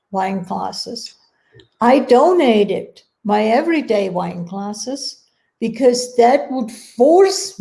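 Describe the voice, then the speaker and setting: Slow, creaky, mellow-voiced woman. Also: on the high side. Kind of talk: reflective casual conversation.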